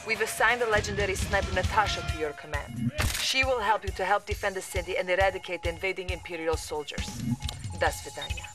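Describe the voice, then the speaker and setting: An actress playing a young Soviet information officer giving formal, urgent mission briefings. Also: female voice, lowish voice, fast talker, over music, lightly acted.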